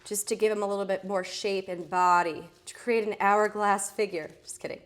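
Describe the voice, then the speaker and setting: A woman in her thirties hosts a casual live shopping stream, sounding nasal and stuffed up from a head cold. She chats in an easy, joking way and pokes fun at herself as she goes. Her pitch sits in a middle range for a woman. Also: normal speed. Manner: chatty, jokey, self-teasing, lively swings in pitch and loudness.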